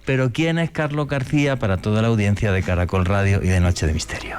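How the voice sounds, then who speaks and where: Man, radio host, somewhat low voice, lively slangy chat. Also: a little fast, soft background music. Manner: enthusiastic, slangy, animated storytelling.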